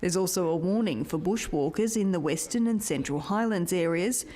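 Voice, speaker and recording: A woman's voice: fairly low pitch, normal speed, dark tone. A woman with an Australian accent narrating a scripted news report as a reporter.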